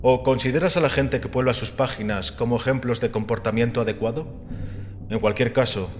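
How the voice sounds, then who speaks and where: A man voicing a calm, assured and scornful critic of religion, measured and didactic, in a dramatized audiobook. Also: mid-range voice, slight background noise, natural voice. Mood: assertive, scornful. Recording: long reverberant hall with music behind. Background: music.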